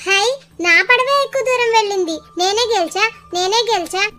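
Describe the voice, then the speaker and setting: A woman voicing playful, bickering young children in a cartoon story, excitable, teasing and singsong. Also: very high voice, a little fast. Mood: bickering, boastful. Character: squabbling young children, playful brother and sister.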